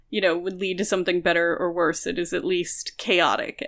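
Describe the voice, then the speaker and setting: A woman's voice: on the low side, a bit bright. A woman co-hosting a podcast, talking casually about a book and explaining her points at length with careful qualifications. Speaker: podcast co-host.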